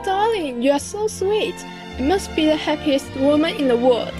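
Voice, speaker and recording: A woman's voice: high voice, expressive, normal speed, over music. A woman playing a sweet, sympathetic, affectionate queen in an amateur radio drama.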